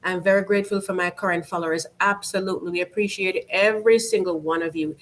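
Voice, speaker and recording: A woman's voice: lowish voice, fairly even intonation, fast talker. Chatty Jamaican woman hosting a livestream. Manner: chatty, upbeat, many fillers and restarts. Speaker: YouTube channel host. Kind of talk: casual livestream chat reading comments. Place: home.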